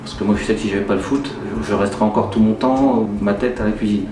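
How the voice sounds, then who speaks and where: Low-voiced Frenchman in a roomy recording. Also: fairly even intonation, quick pace, distant mic. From Nantes, western France. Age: 40s. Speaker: care-home head chef being interviewed. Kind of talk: informal testimonial interview about his job. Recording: roomy, off-mic voice under clear music. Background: music.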